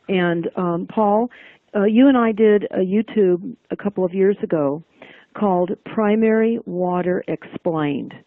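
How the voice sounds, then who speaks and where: A woman hosting an interview, speaking in measured, drawn-out phrases as she explains things to listeners. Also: fairly low pitch, dry recording. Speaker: interview host. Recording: dry phone-like line.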